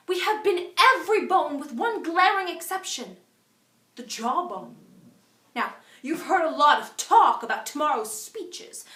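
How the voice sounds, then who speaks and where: Woman acting as a high-voiced, indignant civil rights orator. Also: pushed and bright, full character voice. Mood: sarcastic. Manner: deliberate, emphatic oratory with dramatic pauses. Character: indignant eloquent woman civil rights organizer addressing a gathering. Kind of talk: theatrical audition monologue as a formal speech. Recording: echoey room, distant microphone.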